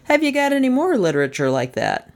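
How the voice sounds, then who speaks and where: A woman voicing a casual, wry male detective in a crime story, with a brisk, bossy manner. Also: high-pitched, sing-song, pitched above the performer's own voice. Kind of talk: storybook character voice in crime fiction.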